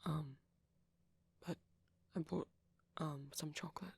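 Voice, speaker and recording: A woman's voice: low voice, fairly even intonation, takes it slowly, husky, no room sound, exaggerated voice. Woman acting a shy, whispery young male vampire. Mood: shy, caring. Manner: hesitant, stammering, softly whispery. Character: shy gentle young male vampire, anxiously considerate boyfriend. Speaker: audio roleplay performer. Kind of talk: ASMR boyfriend roleplay.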